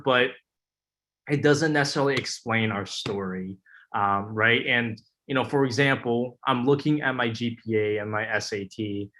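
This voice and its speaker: A young man sharing his story as a webinar panelist over a video call, earnest and halting with frequent ums and uhs. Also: mid-range voice, fairly bright tone.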